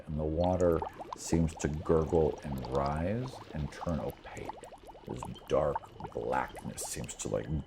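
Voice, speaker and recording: A man's voice: extremely low pitch, gravelly, background noise. A man working as game master, narrating a tabletop scene off the cuff in a conversational, descriptive way with rambling asides.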